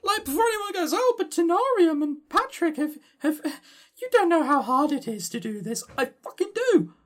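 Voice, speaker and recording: A man's voice: very high-pitched, big pitch swings, moderate pace, a bit bright. A man co-hosting a podcast in casual review chat, dryly sarcastic and often repeating words as he starts a sentence. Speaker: podcast co-host.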